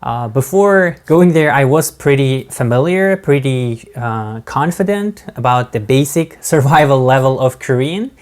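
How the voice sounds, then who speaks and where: A man speaking English with a non-native accent, recounting his studies in a casual vlog, hesitant with many fillers and restarts. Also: medium pitch. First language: not English. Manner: halting, many fillers and restarts, laughs between words. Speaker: vlogger. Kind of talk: casual talk to camera.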